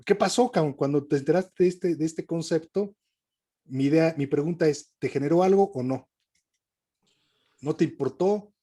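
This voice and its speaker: A man's voice: a little high, warm tone. A man hosting an interview, bluntly pressing his guest with repeated questions. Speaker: interviewer, host.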